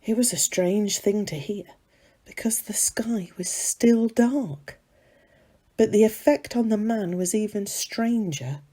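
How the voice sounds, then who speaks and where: A woman reading a dramatic monologue as a gossipy serving maid of ancient times, confiding and conversational, with dramatic pauses. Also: mid-range voice, warm tone, slightly rough. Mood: sombre, intent.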